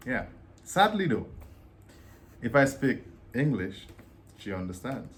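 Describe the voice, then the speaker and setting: A man from Dominica with a Dominican accent, explaining in a relaxed, joking way as co-host of a casual vlog. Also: mid-range voice, slow, a little hiss or hum.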